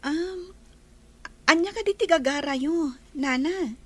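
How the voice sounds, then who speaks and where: A woman's voice: high-pitched, slow. A woman playing a modest, polite young working woman in a radio drama. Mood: polite, warm.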